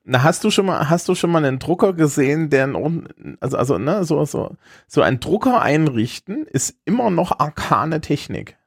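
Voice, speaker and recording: A man's voice: fairly high pitch, big pitch swings, moderate pace, vocal fry, slightly rough, dry recording. A man with a light Thuringian accent chats on a tech podcast in a wry, self-deprecating way. The sound is close and dry, with no room around it. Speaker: podcast co-host. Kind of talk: casual chat.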